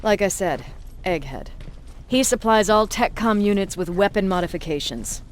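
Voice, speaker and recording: A woman's voice: mid-range voice, animated, moderate pace, slight background noise, lightly acted. Casting: actress for hard-nosed woman commanding a resistance army, medium register, dry sarcasm, clipped authority, game drama. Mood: brisk, stern. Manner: blunt, authoritative, sardonic. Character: tough, commanding middle-aged female resistance commander. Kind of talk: video game voice acting.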